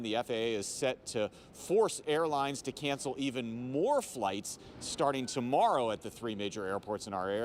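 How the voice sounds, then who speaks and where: An American man giving a live television news report as a field reporter. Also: mid-range voice. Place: airport. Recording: background noise from airport.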